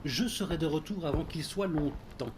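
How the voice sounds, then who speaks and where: An actor playing a fussy, devoted butler in an audio drama, speaking in a formal, wordy, deferential way. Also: male voice, a little high, moderate pace, background noise, natural voice. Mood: placating.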